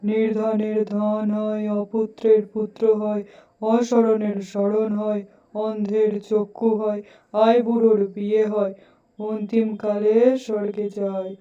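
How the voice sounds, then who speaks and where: Monotone woman chanting, distant and dull-sounding. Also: medium pitch. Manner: measured, monotone recitation. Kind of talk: ritual verse chanting.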